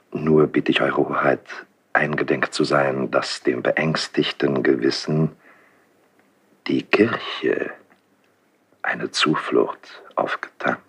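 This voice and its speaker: An actor playing an older, insinuating court priest in a classical German radio drama, speaking formally and solemnly with breathy, husky declamation. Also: male voice, a bass voice, pushed and bright, heavily acted. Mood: unctuous, insinuating. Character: insinuating older court priest, confessor to the king, smooth and probing.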